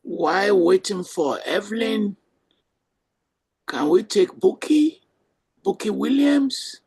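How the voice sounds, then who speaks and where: A man hosting a panel discussion as moderator, giving brisk directions to speakers. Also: high voice, big pitch swings, gravelly, darkish tone, husky. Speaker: host and moderator. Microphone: video call.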